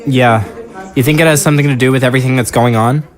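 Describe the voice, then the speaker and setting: An actor playing an uneasy, terse grown son in an audio drama. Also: male voice, medium pitch, steady tone, fast talker, lightly acted. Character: uneasy, terse adult son named Kevin.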